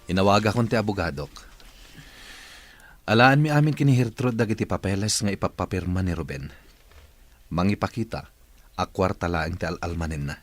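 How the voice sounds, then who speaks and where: A man playing a stern, scheming boss in an audio drama, husky and curt in the way he gives orders. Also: deep voice, a little fast, long pauses. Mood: firm, calculating. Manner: curt, commanding. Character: stern, scheming male boss using a wheelchair. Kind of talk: Ilocano audio drama acting.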